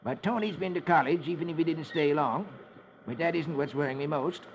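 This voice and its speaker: Old radio sound with noise, male actor as worried sly old squire, creaky, folksy, hesitant, New England rustic. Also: on the high side, fairly quick, lightly acted. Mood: worried, scheming. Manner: folksy, hesitant rural talk. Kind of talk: 1950s radio acting. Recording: muffled, noisy old radio recording.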